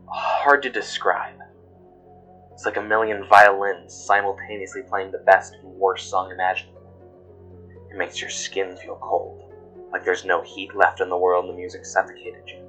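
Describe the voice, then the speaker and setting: Man voicing a haunted, secretive woman, low-pitched. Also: sharp tone, full character voice, rough recording. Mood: awed, unsettled. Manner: grave, confiding. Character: haunted, secretive woman coworker recounting eerie horrors. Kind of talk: scripted horror audio drama. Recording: music bed under voice. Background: background music.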